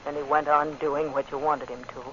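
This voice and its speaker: An actress playing a grieving, vengeful young widow in a 1940s radio drama, speaking with slow, deliberate intensity. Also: female voice, sits low, level tone, a little hiss or hum, lightly acted. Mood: brooding accusation. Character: grieving, vengeful young widow confronting her husband's betrayer. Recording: muffled old radio recording with music.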